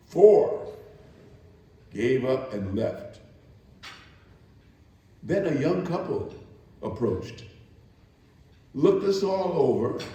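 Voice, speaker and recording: A man performing a weary working woman's solemn testimony in a slow, deliberate dramatic reading. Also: mid-range voice, mellow. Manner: slow, deliberate, theatrical pauses. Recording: very echoey room, distant microphone.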